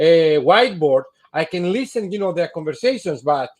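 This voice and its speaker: Halting, fairly high-voiced man. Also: animated. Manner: halting, searching for words. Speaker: webinar moderator. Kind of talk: webinar discussion.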